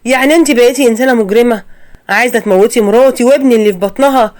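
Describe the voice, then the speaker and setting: A woman with an Egyptian accent voicing a young husband, earnest and insistent, in her own fairly high voice. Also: fast, natural voice. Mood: shocked. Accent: Egyptian Arabic. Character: young husband, shocked and resolute.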